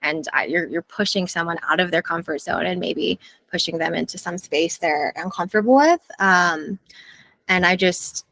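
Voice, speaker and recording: Woman, young adult, interview guest, thoughtful, earnest, lower pitch, frequent fillers. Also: normal speed, fairly bright tone, dry recording. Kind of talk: reflective interview conversation.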